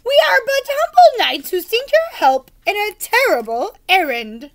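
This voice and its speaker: A woman's voice: very high-pitched, big pitch swings, unhurried, fairly bright tone, voice pushed up, full character voice. A woman voicing a pompous, gallant male knight with courtly, declamatory phrasing in a children's storybook. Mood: courteous.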